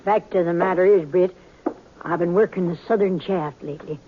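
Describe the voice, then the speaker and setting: A woman's voice: on the low side, fairly quick, background noise, natural voice. An American actress playing a feisty, stubborn old woman silver miner in a 1950s western radio drama, with blunt, folksy speech. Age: older. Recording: muffled narrow-band 1950s radio recording.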